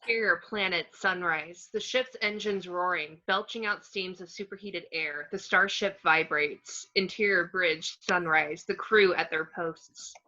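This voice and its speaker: A woman's voice: on the low side, unhurried, hardly any pauses, bright, forceful tone. A woman narrating a screenplay's action descriptions in a scripted read. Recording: dull, lo-fi home recording.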